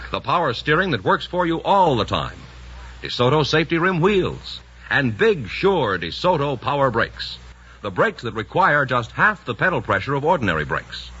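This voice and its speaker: A man's voice: medium pitch, pushed and bright, slightly rough, highs cut off. An American man announcing in the polished, emphatic sales style of a 1950s radio commercial. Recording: band-limited old radio broadcast.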